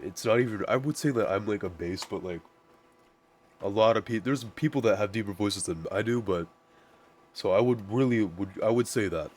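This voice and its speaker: A man with a naturally deep voice, casually walking through his music production setup, rambling with lots of "like" and restarts. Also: moderate pace, darkish tone. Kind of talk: casual screen-share tutorial.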